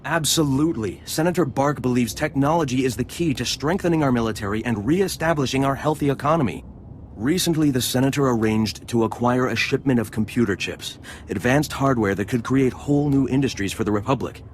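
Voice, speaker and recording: A man's voice: medium pitch, brisk, slight background noise, natural voice. A man playing a fawning, eager senator's aide in a video game, speaking in a formal, gushing way. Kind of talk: video game dialogue acting.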